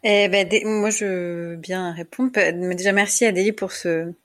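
A woman's voice: fairly low pitch, steady tone, normal speed, a bit bright. A woman co-hosting a casual discussion podcast, talking hesitantly with many fillers and restarts. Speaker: podcast co-host.

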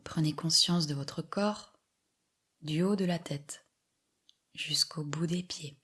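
A woman guiding a yoga meditation with soft, soothing scripted instructions and long pauses. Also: low-pitched, slightly rough. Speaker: yoga nidra relaxation guide.

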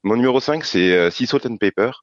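A man's voice: mid-range voice, lively intonation, brisk, dry recording, dull audio. A man from France, a podcast guest chatting casually about board games, hesitant with many fillers and restarts.

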